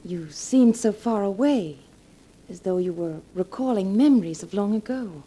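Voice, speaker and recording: An actress playing a gentle, curious young niece in a 1940s radio drama. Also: female voice, mid-range voice, expressive, normal speed, slightly rough, rough recording. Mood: gentle, concerned. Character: gentle, curious young girl visiting her aunt.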